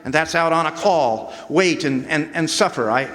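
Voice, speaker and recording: An actor playing an anxious, earnest hospital administrator in a radio drama, halting and stammering as he speaks. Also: on the high side, fairly bright tone, natural voice. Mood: earnest, relieved. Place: live stage performance in a hall. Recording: echoey live hall.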